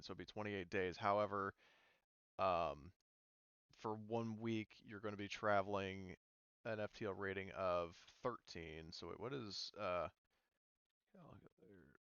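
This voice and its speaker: A man narrating a tabletop roleplaying game casually as game master, haltingly thinking aloud as he goes. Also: on the low side, clear, resonant tone, dry recording, dull audio. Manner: halting, thinking aloud while checking rules.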